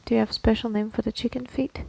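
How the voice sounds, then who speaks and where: Creaky-voiced woman chatting on a podcast. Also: a little high, level tone, quick pace, warm tone, rough recording. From Slovakia. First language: Slovak. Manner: chatty, explanatory, checks in with listener. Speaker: podcast co-host. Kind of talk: casual conversational podcast chat.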